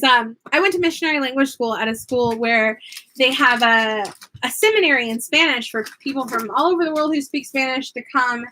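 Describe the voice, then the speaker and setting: Chatty American woman, higher voice. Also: bright, forceful tone, a little hiss or hum, poor audio. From United States. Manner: chatty, laughs often, goes off on tangents. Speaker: craft vlogger and online teacher. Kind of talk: casual chat while crafting.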